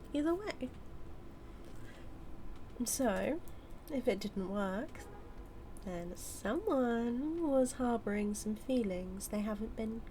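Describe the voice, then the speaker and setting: A woman's voice: on the high side, warm tone, a little hiss or hum. A British woman playing a smug, scheming supervillain in an audio roleplay, taunting her nemesis with a theatrical, drawling delivery that dips toward whispers. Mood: gloating, flirtatious. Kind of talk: scripted villain monologue roleplay, spoken to the listener.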